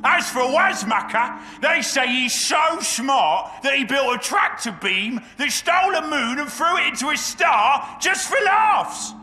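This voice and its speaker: An actor playing a small, excitable, fawning greenskin minion in a video game, squawky and exclaiming. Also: male voice, a little slow, few pauses, roomy sound, in a large hall, heavily acted. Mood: incredulous, boastful. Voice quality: squawky, shrill. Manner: gleeful, breathless exclaiming. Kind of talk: video game cutscene acting.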